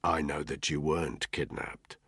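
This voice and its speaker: A tough, menacing male intelligence soldier, voiced by an audiobook narrator, low and husky, with threats hissed through his teeth. The reading sounds dry and close. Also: normal speed, sharp tone, dry recording, exaggerated voice. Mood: cold. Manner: hissing threats.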